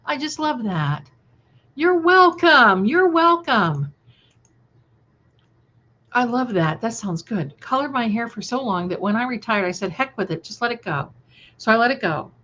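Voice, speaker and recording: Slow-paced woman in her sixties, chatty. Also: a little high, a bit bright, highs cut off. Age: 60s. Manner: chatty, affectionate, addresses listeners with endearments. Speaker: livestream host. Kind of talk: casual live chat with viewers.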